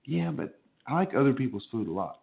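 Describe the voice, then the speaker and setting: Brief: mid-pitched male host, stuffy nasal from a cold, jokey, tinny phone-like sound. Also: big pitch swings, fairly quick, gravelly, a little hiss or hum. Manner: jokey, laughs often. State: getting over a cold, nasal. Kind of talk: casual radio chat. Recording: phone-like narrow band.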